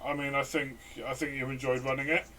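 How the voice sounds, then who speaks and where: Male co-host, average pitch, conversational reviewer who qualifies opinions and readily agrees. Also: flat delivery, fast, sharp tone, slight background noise. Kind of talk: casual review discussion.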